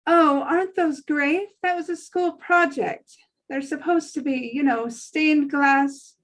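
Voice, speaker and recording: Over-accommodating, chirpy woman acted by a female reader; high voice, anxious to please, table-read drama. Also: steady tone, takes it slowly. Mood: nervously cheerful.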